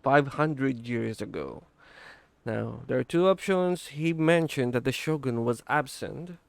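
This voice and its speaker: A man's voice: mid-range voice, lively intonation, a little slow, vocal fry, no echo. A man who streams games, giving casual live reaction commentary as he plays. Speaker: gaming streamer reacting to a game. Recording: voice over game music.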